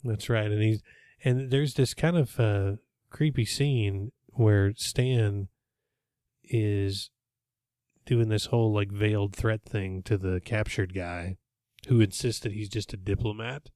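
A man's voice: on the low side, steady tone, mellow, dry recording, clean studio sound. A man hosting a podcast, casually recapping an episode in a rambling, jokey way. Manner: rambling, jokey, with quick put-on voices. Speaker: podcast host.

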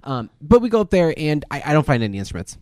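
A man's voice: on the high side, big pitch swings, fast talker, a little mellow, no room sound. A man co-hosting a podcast in casual chat, telling stories in a rambling, repetitive way and swearing freely. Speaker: podcast co-host.

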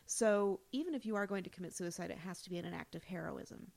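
A woman's voice: fairly low pitch, fast talker, vocal fry, a little mellow. A woman co-hosting a podcast, casually discussing a television show in conversation. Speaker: podcast co-host.

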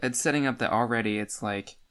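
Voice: mid-range voice, fairly quick, a bit bright. A podcast co-host chatting casually about a book in a dry, matter-of-fact way. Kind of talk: casual book discussion chat.

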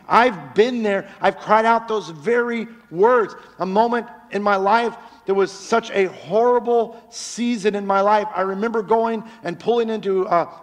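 A man preaching a sermon as pastor in a church, with a very loud voice that swings between loud cries and hushed, near-whispered lines, on a microphone. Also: high voice, long reverb tail.

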